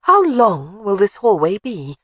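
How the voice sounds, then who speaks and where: A woman's voice: mid-range voice, very animated, a little slow, fairly bright tone, dry recording, pitched above the performer's own voice. A woman voicing a spirited young wife in an audiobook who is cheerful, stubborn and insistent.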